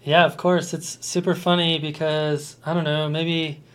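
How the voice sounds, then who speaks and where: A man's voice: a little high, level tone, unhurried, a bit bright, clean tone. A middle-aged man from Colorado hosting a podcast in casual, enthusiastic chat. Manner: enthusiastic, laughs easily. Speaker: podcast host.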